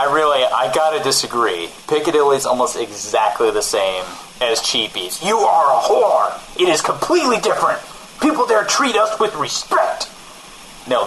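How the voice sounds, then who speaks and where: A man's voice: mid-range voice, a little hiss or hum, poor audio. A man playing a vain, pretentious, easily rattled rich co-host bickering on a comedy radio show. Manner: pompous, excitable, bickering. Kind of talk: improvised-sounding comedy radio banter.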